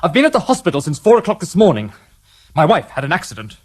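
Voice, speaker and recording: A man's voice: a little high, very animated, quick pace, slightly rough. An actor playing a sardonic British police inspector in a radio crime drama, dry and mock-polite in his questioning. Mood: harried. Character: sardonic male detective inspector, dogged and wry.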